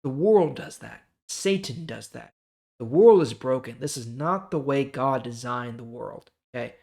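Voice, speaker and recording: A man's voice: medium pitch, animated, unhurried, creaky, darkish tone. A man hosting a Bible reading, explaining scripture informally and checking in with the listener.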